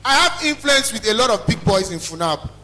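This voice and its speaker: A young man with a Nigerian accent and a loud, carrying voice, giving an emphatic, blunt motivational talk as a business owner. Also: sits high, animated, fairly quick. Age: young adult. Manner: emphatic, blunt, repeats phrases for effect. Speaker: small chops business owner, guest speaker. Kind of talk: motivational talk to a student audience.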